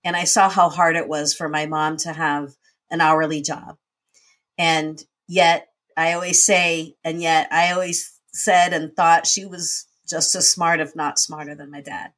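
A woman's voice: low voice, little pitch movement, unhurried, fairly bright tone. A woman guest in a conversational interview, telling her life story in an earnest, reflective way. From United States. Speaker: guest, nonprofit founder and CEO.

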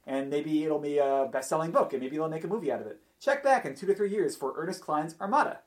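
A man's voice: a little high, lively intonation, quick pace. Man in casual podcast chat. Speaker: podcast panelist reviewing trailers.

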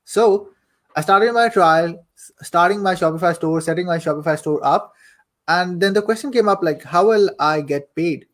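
A young man from Saudi Arabia and Pakistan speaks in a slightly high voice. He tells his own story like a chatty interview guest, informal and fond of repeating a phrase for emphasis. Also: normal speed, fairly bright tone. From Saudi Arabia, later Pakistan. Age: young adult. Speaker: podcast guest, affiliate marketer and coach. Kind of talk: casual personal storytelling in an interview.

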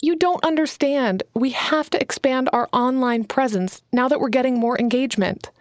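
A woman's voice: high-pitched, normal speed, no echo, clean studio sound, close to an everyday voice. A woman reading an audiobook, voicing an eager, earnest young woman writer who pleads and bristles. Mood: frustrated. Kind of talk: audiobook character voice.

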